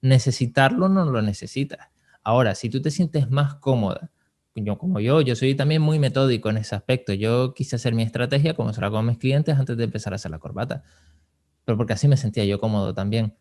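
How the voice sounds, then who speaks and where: A man's voice: medium pitch, a little fast, a little mellow. A man with a mid-range voice hosts a conversational podcast. He gives advice in an informal, explanatory manner, talking through his points as he goes. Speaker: podcast host and marketing advisor.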